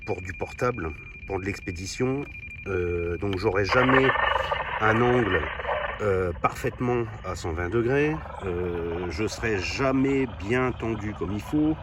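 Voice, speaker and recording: A man with a fairly low voice talks casually to his viewers as he demonstrates some hobby gear. His manner is informal and down-to-earth, full of little conversational tags. It is a field recording with clearly audible noise behind him. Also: a little slow. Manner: informal, down-to-earth, often tagging phrases with "hein". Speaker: host of a CB radio hobby vlog. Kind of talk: casual hands-on demonstration to camera.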